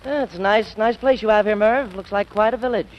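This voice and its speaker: A man's voice: sits high, fairly quick, close to an everyday voice. An actor playing a well-to-do young college man, casual and self-assured, in 1940s radio style. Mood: breezy. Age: young adult. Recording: dull, noisy old radio broadcast.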